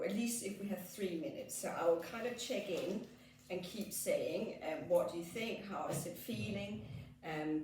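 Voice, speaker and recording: A woman with a Danish accent, a skin therapist gently explaining a treatment as she works, with frequent fillers. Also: medium pitch, distant mic, a little hiss or hum. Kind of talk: treatment demonstration. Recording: echoey room, off mic.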